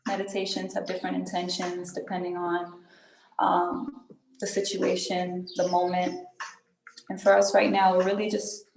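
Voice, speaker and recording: A woman leading a guided group meditation in an unhurried, soothing way, pausing often between phrases. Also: fairly low pitch, a little mellow, slight background noise. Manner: unhurried, soothing instruction with pauses and check-in tags. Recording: roomy echo with music behind.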